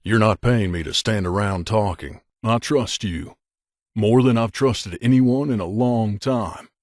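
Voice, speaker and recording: A male actor plays a guarded wasteland mercenary in a video game, confiding in his companion. His voice sits fairly low and is recorded close and dry. He speaks earnestly and with measure, like someone who carries guilt. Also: unhurried, a little mellow, no room sound, close to an everyday voice. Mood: opening up.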